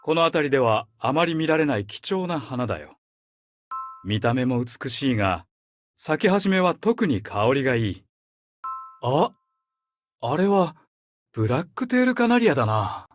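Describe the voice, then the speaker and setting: A man playing a friendly, gentlemanly figure of the demon realm in a game, eager, charming and expressively inviting. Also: medium pitch, very animated, brisk, pauses often, lightly acted. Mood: delighted, inviting. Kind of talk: Japanese game character voice lines.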